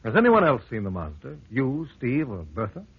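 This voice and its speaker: An actor playing a composed, bold investigator in a vintage radio drama, probing with measured questions and reassurance. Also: male voice, mid-range voice, huge pitch swings, breathy edge, heavily acted. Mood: calm, probing. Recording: tinny, narrow-band old radio recording.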